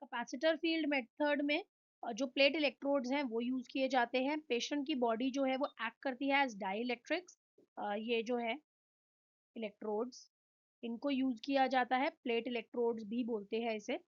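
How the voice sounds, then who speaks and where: A woman's voice: sits high, steady tone, normal speed, muffled recording. A woman with an Indian accent giving an explanatory physiotherapy lecture, posing questions and answering them in listed points. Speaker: physiotherapy instructor. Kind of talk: explanatory lecture mixing Hindi and English.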